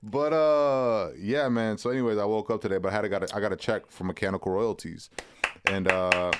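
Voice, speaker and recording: A man's voice: lowish voice, fairly even intonation, a little fast, smooth, no room sound, clean studio sound. A young man co-hosting a podcast in casual, profane banter, laid-back and often trailing off. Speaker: podcast co-host.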